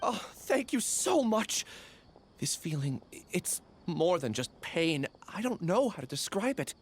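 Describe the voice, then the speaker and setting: A man's voice: high voice, sing-song, a little slow, a little hiss or hum. An actor playing a confused young male researcher with lost memories in a game, hesitant and apologetic. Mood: troubled. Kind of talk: game voice acting.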